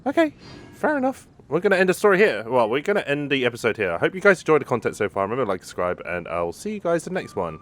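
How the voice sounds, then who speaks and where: A man's voice: mid-range voice, huge pitch swings, rapid-fire, a little hiss or hum. A man giving casual gameplay commentary as the host of a gaming video. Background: game music.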